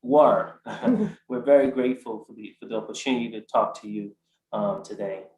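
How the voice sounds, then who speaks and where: A man in his forties from Ghana, a guest describing his life in a halting way, with many fillers and restarts. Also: on the low side, darkish tone, roomy sound, poor audio. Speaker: art collector guest. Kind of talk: conversational interview answer.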